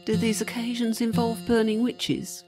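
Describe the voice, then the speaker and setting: With music behind, a woman plays a ghostly companion in a radio drama. She is teasing and tart, her voice on the high side. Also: monotone, normal speed, dark tone, natural voice.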